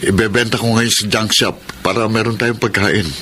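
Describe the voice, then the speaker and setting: Very deep-voiced, remorseful father. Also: fairly even intonation, normal speed, pushed and bright, a little hiss or hum. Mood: subdued, practical. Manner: apologetic, earnest. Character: remorseful, conciliatory middle-aged father and husband. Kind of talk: radio drama acting.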